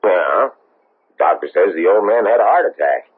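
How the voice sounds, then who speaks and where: A man's voice: sits low, lively intonation, moderate pace, slightly rough, exaggerated voice. A man playing a weary, matter-of-fact police sergeant in a radio drama. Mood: weary routine. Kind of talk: 1970s radio drama acting. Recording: tinny old radio broadcast, light hiss.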